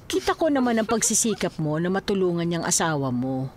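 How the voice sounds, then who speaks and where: A woman playing a concerned, inquisitive elderly mother in a radio drama, teasing and expressive. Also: lowish voice, brisk, a little hiss or hum. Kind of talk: Filipino radio drama acting.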